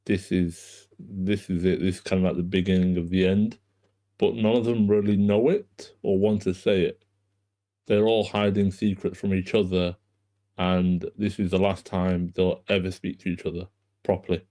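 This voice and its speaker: A man's voice: deep voice, flat delivery, moderate pace, mellow. A man speaking as a screenwriter in a casual, informal explaining way on an online group call. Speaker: screenwriter introducing his script.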